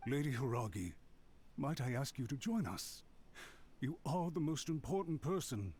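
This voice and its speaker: A man's voice: mid-range voice, expressive, normal speed, a little mellow, slightly rough. A man playing an anxious, contrite official in an anime game, speaking formally and deferentially, as if pleading. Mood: pleading, earnest. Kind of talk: scripted anime game voice acting.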